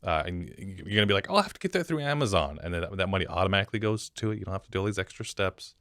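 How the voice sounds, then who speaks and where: A man's voice: low voice, lively intonation, racing, a bit bright, no room sound, clean studio sound. A man co-hosting a podcast, chatting casually with a guest and the listeners. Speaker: podcast co-host. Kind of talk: casual chat.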